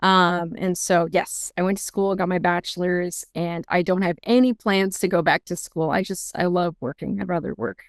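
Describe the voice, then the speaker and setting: A young woman from Seattle talks through her career and her family in relaxed, rambling sentences full of ums and false starts. Her voice is smooth and clear. It sounds close and dry, with no room around it. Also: on the low side, moderate pace, hardly any pauses, dry recording. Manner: chatty, many ums and restarts. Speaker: guest, intake director at a law firm. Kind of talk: casual interview answers.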